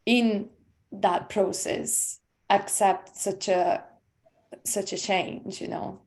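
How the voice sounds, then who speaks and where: A woman's voice: medium pitch, steady tone, extremely slow, darkish tone. A woman from Italy asking a question on an academic panel, searching for her words with many fillers. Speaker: panel participant.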